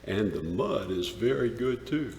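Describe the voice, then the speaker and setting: A man performing a dramatic monologue as a rough, awestruck shepherd recalling a wondrous night, with emphatic pauses. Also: on the low side, expressive, slight background noise. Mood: savoring wonder. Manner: emphatic, dramatic pauses, swells from hushed to shouted. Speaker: dramatic reader. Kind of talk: theatrical dramatic monologue reading. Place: large church, live performance. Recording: echoey church hall, long reverb.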